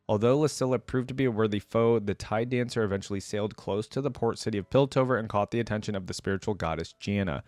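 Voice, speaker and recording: A man's voice: fairly low pitch, no echo. A man doing casual reaction commentary and reading aloud, with an enthusiastic, slangy, chatty way of talking to viewers. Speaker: reaction video creator.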